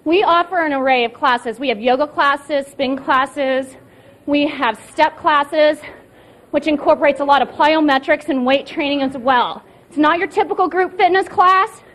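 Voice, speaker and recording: An enthusiastic woman fitness instructor with a high voice pitches her gym's classes. She answers into a reporter's handheld mic inside an indoor gym. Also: normal speed, fairly bright tone. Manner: enthusiastic, promotional. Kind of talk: live TV interview answers.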